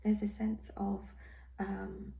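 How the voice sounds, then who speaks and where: A hoarse, croaky woman giving a tarot reading in a soft, half-whispered, reassuring way. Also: mid-range voice, completely flat delivery, slow pace. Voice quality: croaky, throat-clearing. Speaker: tarot reader. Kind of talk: casual tarot reading monologue.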